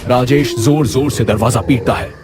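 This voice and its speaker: A man's voice: mid-range voice, moderate pace, over music. A man narrating a scripted horror story as its storyteller.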